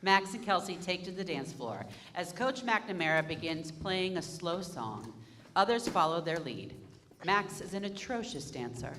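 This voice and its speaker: A woman reading stage directions aloud in a brisk, matter-of-fact way during a staged reading. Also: fairly low pitch, big pitch swings, fairly bright tone, a little hiss or hum.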